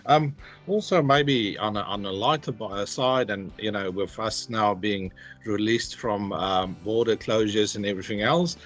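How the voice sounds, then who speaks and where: A man's voice: fairly low pitch, measured pace, a bit bright, faint music behind. A man working as a cybersecurity principal, talking casually in a workplace interview, hesitant with many fillers. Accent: non-Australian.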